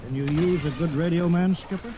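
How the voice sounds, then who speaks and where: An American actor playing an eager, pleading veteran radio man with a folksy, halting manner in a vintage radio drama. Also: on the high side, natural voice. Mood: eager, hopeful. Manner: folksy, halting, repeats words. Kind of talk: old-time radio drama acting. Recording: vintage radio recording, noisy with gunfire.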